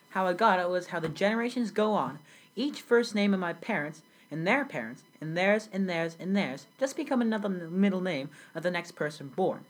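A teenage girl playing a grown immigrant recalling a childhood in South Sudan, telling her story earnestly in a scripted school interview. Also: lowish voice. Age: young teen. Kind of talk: school project role-play interview.

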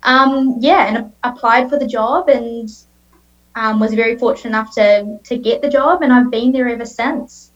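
A woman's voice: a little high, normal speed. A woman in her late twenties with a New Zealand accent, a guest chatting casually about her career with plenty of ums and restarts. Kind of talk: casual interview answers. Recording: dull lo-fi sound.

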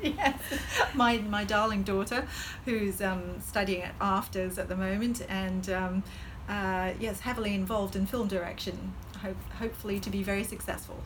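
A middle-aged woman speaks with a Hong Kong colonial English accent, hesitating often and breaking into laughter. Her voice sits at a medium pitch. A faint hum of ambience lies behind her. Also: steady tone, normal speed. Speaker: vascular surgeon, guest. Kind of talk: podcast interview.

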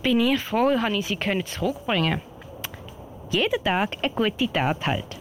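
A woman's voice: medium pitch, expressive, moderate pace, lightly acted. A woman playing a young, earnest girl scout in an amateur radio play, chatting with a Swiss German accent. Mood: relieved, hopeful. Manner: chatty, thinking aloud. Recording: slightly noisy amateur recording.

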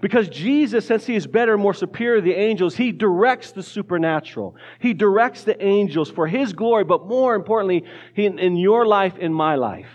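A man's voice: high voice, animated, brisk, few pauses, highs cut off. A man preaching a casual sermon in a church, joking lightly and often restarting his words. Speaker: pastor. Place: church sanctuary.

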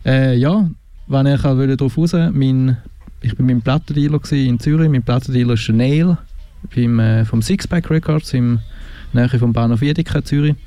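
A man from the Canton of Zurich with a Swiss German accent, talking casually as a radio DJ between records, relaxed and rambling with many hesitations. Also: mid-range voice, normal speed, no echo. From Switzerland, Canton of Zurich.

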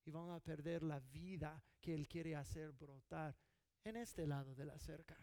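A man's voice: a little high, a little slow, dark tone, dry recording. A middle-aged man from California preaching a sermon in an earnest, conversational way, often restarting his sentences. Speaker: pastor.

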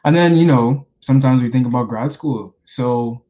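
A college senior in his twenties from the Bahamas, hosting a podcast interview in a relaxed way with lots of fillers. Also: mid-range voice, moderate pace. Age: 20s. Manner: relaxed, many fillers like you know and like.

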